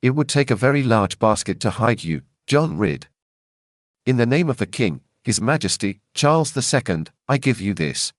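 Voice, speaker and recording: A gruff, impatient messenger of the king, hungry and pushy, as a storyteller puts him on. His voice swings up and down with lively pitch. It is recorded close and dry. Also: normal speed, dry recording, lightly acted. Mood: formal. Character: hungry blustering male king's messenger, middle-aged.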